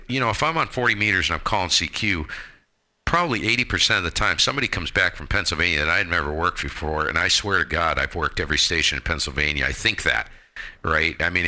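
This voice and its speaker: Man, low pitch, very forceful bright tone, casual radio chat, informal and rambling. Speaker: amateur radio operator and live stream host.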